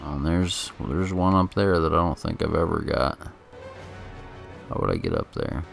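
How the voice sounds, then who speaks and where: A man giving casual, laid-back video game commentary in a halting, chatty way, close to the microphone. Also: very deep voice, creaky. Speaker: gameplay commentator. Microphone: microphone held very close. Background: game music.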